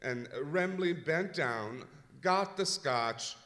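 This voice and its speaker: Man as a mild, halting orchestra manager. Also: a little high, expressive, fairly bright tone, slight background noise. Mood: mild, chatty. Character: genial, hesitant male orchestra manager. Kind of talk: old-time radio comedy acting, live stage revue. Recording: echoey hall, live stage.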